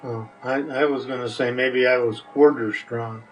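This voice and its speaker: An older man in a casual interview chat, answering in a halting way with dry humor. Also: mid-range voice, steady tone, far from the mic, faint music behind, low-quality recording. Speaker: recurring interview guest.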